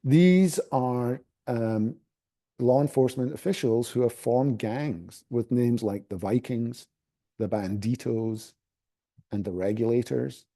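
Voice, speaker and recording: A man with a Scottish accent speaking on an academic panel, pausing often with fillers and restarts. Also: mid-range voice, warm tone. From Scotland. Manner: halting, many fillers and restarts.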